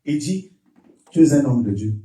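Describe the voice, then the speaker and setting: A man with a Congolese French accent preaching a fervent sermon, emphatic and repetitive, often shouting his exhortations. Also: mid-range voice, expressive, moderate pace. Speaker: pastor preaching. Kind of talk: fervent Pentecostal-style sermon with testimony and call-and-response. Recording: echoey church PA, distant, music behind.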